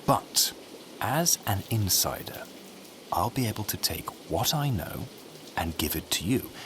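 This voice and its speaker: Deep-voiced, swooping sardonic schemer. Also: male voice, big pitch swings, unhurried. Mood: scheming, excited. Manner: arch, droll, theatrical. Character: urbane, sardonic male state media journalist, flamboyant schemer. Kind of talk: audio drama acting. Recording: noisy ambience behind the voice.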